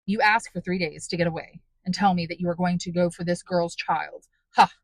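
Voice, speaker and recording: A woman voicing a harsh, contemptuous male police inspector who speaks loud and curt. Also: medium pitch, quick pace, husky, dry recording, natural voice, poor audio. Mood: scornful.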